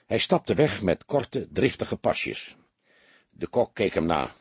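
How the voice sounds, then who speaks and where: A man narrating a crime audiobook in an expressive, scripted storytelling style. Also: fairly low pitch, slow, husky, no room sound.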